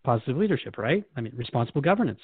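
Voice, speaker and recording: Animated, quick-talking male radio host. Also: medium pitch, slightly rough, no room sound, phone-quality sound. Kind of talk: conversational scripted radio hosting.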